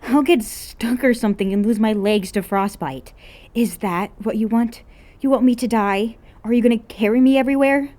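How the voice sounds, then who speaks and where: A woman voicing a loud, dramatic teenage girl in a story reading, whining and exclaiming theatrically. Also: a little high, moderate pace, voice pushed up, natural voice. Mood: whiny, dramatic. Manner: exclamatory, pleading, theatrically exaggerated. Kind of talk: acted dialogue in fan fiction reading.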